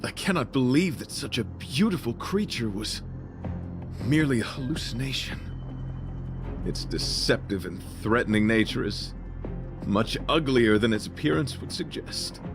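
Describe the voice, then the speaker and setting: A man's voice: mid-range voice, expressive, moderate pace, close to an everyday voice. A man acting a chivalrous, earnest knight in a video game, speaking in a formal, courtly, theatrical way. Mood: solemn, earnest. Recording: music behind the voice.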